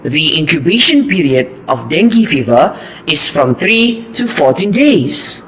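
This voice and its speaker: A man playing an earnest, heroic mosquito destroyer in an amateur puppet show, with a deliberate, recited delivery. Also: a little high, sing-song, fairly bright tone, slight background noise, poor audio.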